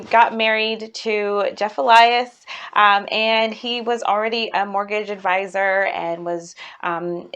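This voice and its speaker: A woman with a mid-pitched voice gives a personal, promotional talk as a chief executive. She speaks earnestly and haltingly, leaning on key words as she explains herself. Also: a bit bright. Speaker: company CEO.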